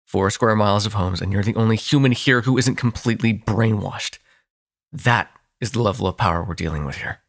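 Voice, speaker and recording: A lowish-voiced male vampire, acted by a man for an intimate audio roleplay. He is protective and sardonic, talking in halting, foul-mouthed bursts broken by sighs. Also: creaky. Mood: anxious, explaining. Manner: intimate, halting, swearing, sighing. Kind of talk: ASMR audio roleplay acting.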